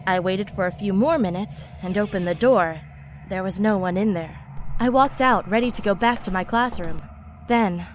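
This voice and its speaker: A female narrator reads out a frightening personal account. Her voice sits at a medium pitch, with music clearly audible underneath. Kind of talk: scripted narration of a scary personal story. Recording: loud background music.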